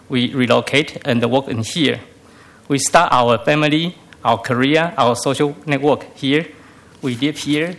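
Man with Taiwanese accent, halting public speech. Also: mid-range voice, a bit bright. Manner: halting, non-fluent English phrasing. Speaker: community member giving testimony. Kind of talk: prepared public remarks. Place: city council meeting room.

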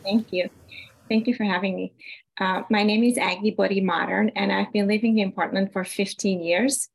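Woman, 50s, gravelly low edge, steady prepared reading, video call audio. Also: moderate pace, rough recording. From Hungary. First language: Hungarian. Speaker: invited speaker. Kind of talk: prepared personal statement.

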